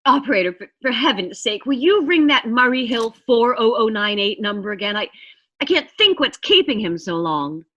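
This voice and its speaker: A woman's voice: fairly high pitch, big pitch swings, normal speed, pushed and bright, lo-fi sound, exaggerated voice. A woman acting a nervous, demanding bedridden woman in a suspense radio play, fretful and haughty, given to exclamations. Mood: fretful, impatient.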